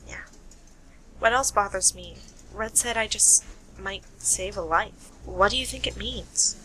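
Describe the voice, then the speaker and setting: Bright-voiced woman as a shrewd detective. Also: medium pitch, a little hiss or hum, low-quality recording. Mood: pensive. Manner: deliberate, dramatic explaining with rhetorical questions. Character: shrewd, self-assured female detective. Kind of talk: amateur radio play acting.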